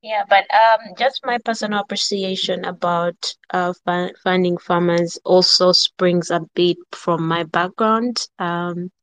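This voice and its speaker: A woman, answering interview questions as a startup co-founder in a measured, thoughtful way with frequent pauses. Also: lowish voice, fairly even intonation, rough recording. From central Uganda. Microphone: remote call-in on a live audio chat.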